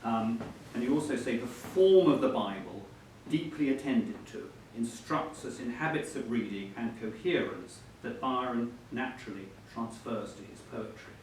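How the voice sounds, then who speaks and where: A man acting as interviewer at a book event, putting questions politely in halting, hesitant phrases. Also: medium pitch, darkish tone. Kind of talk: conversational questioning before an audience. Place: indoor event hall. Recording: echoey hall, distant mic, faint noise.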